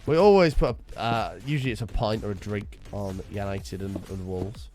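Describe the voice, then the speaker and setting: A man streaming a game, chatting casually and wryly with his viewers while his voice is hoarse and sore. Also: fairly low pitch, normal speed, a little mellow, soft background music. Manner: bantering, wry, teasing chat members.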